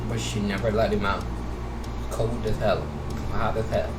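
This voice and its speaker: A man's voice: fairly low pitch, flat delivery, normal speed. A man reacting casually to a game, ranting and swearing, repeating his phrases for emphasis. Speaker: gameplay streamer reacting to a visual novel. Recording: echoey, distant mic with loud background noise.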